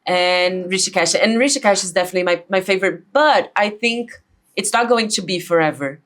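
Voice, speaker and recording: A woman's voice: medium pitch, brisk. A woman from Brazil with a Brazilian Portuguese accent, a guest chatting frankly and laughing often in a casual interview. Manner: lively, frank, laughs often, many fillers.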